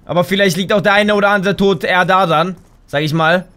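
A young man commentating his own gameplay on a headset mic, excitable and quick to shout, a little hoarse and tired. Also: high-pitched. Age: young adult. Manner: excitable, exclaims and shouts at the game. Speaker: gaming YouTuber. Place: home gaming room, indoors.

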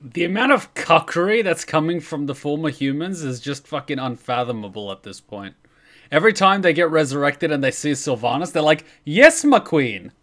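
Animated, exasperated male streamer. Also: a little high, normal speed. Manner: profane, exasperated, mocking. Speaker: streamer reacting to video. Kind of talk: casual reaction commentary.

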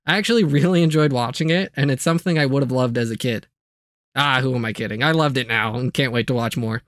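A man's voice: medium pitch, quick pace, a bit bright, dry recording, studio quality. A man delivering a casual, comedic scripted video review, with jokey asides and playful exaggeration.

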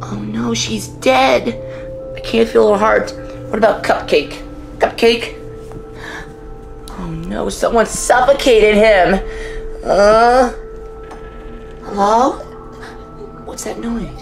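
A woman's voice: fairly high pitch, slow, vocal fry, long pauses. A woman voicing a frightened young female animatronic chick in an amateur horror drama, breathless, melodramatic and pleading, with music behind her. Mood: alarmed.

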